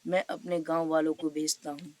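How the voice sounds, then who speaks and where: A woman's voice: low voice, level tone, normal speed, darkish tone, lightly acted. A woman voicing an authoritative, paternal male village headman in a cartoon story, questioning, reassuring and giving orders. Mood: commanding.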